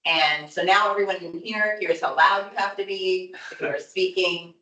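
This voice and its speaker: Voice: mid-range voice, moderate pace, pushed and bright. A meeting organizer who gives casual opening remarks and checks that the audio works. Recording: echoey room, mic far off.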